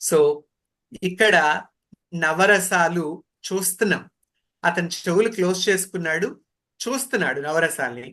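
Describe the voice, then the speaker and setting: A man with a Telugu accent giving an online training lecture as an instructor, speaking deliberately and mixing in English terms. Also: high-pitched, bright, forceful tone.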